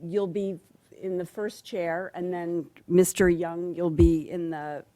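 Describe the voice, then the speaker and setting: This woman has a somewhat low voice. She moderates a public forum in a friendly, hesitant way and often restarts her sentences. She speaks close to a table microphone. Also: level tone, takes it slowly, warm tone. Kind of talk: announcing procedures at a public forum. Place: public candidates forum in a meeting hall.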